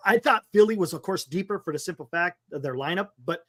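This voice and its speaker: A man's voice: high-pitched, lively intonation, fast talker, a bit bright, no echo, studio quality. An American man co-hosting a casual sports talk show, speaking in a blunt, colloquial way.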